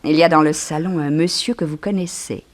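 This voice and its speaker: A woman playing a polite, genteel young hostess in a 1960s French radio drama, with a lively manner. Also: low-pitched, brisk, close to an everyday voice. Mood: brisk, inviting.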